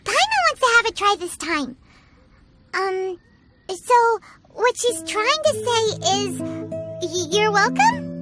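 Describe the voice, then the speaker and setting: A woman voicing a tiny, perky fairy companion in a video game, with a squeaky childlike voice and a blunt, excitable way of talking. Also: slow pace, pauses often, soft background music, exaggerated voice. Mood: hesitant. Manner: speaks of self in third person, excitable. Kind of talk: video game character acting.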